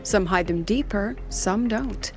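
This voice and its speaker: A woman playing a composed, shrewd academy scholar in a video game, speaking in a measured, explanatory way. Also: mid-range voice. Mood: analytical. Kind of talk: video game dialogue acting. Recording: game music behind. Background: game music.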